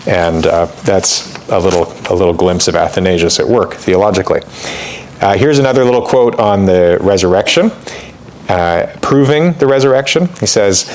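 A man with a somewhat low voice lectures on church history in a loose, conversational way. He pads his sentences with fillers and side remarks. When he reads a quotation aloud, he leans into it with more weight. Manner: conversational, with frequent fillers and asides. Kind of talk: informal lecture.

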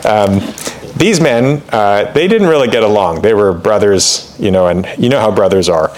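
A man's voice: lowish voice, expressive, normal speed, darkish tone. A man teaching church history in an informal lecture, talking conversationally with fillers and asides, and reading quoted passages with more emphasis. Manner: reads quotations aloud with more emphasis.

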